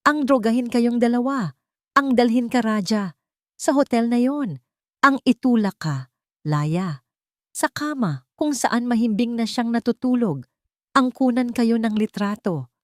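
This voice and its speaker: A woman's voice: a little high, unhurried, pauses often, no echo, studio quality, voice pushed up, close to an everyday voice. A woman voicing a bitter, vengeful villainess full of envy, emphatic and accusing, in a narrated romance drama. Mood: vengeful gloating.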